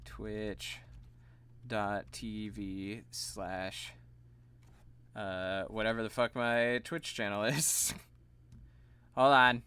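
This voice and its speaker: A man streaming live, chatting casually and laughing at himself, his voice slightly gravelly and tired. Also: fairly low pitch, fairly even intonation, very slow, pauses often, pushed and bright. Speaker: streamer and voice actor.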